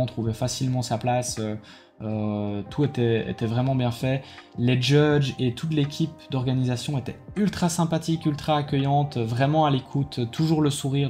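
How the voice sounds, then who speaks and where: A man's voice: mid-range voice, mellow. A tired man with a Belgian French accent gives a casual, rambling debrief to his viewers, full of hesitations and enthusiastic thanks. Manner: rambling, full of fillers and restarts, enthusiastic praise. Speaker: card game YouTuber.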